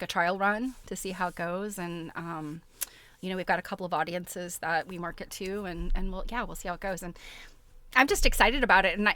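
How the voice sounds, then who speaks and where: A woman hosting a casual livestream, chatting to her viewers in an expressive, giggly, informal way. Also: fairly low pitch, no room sound. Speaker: livestream host.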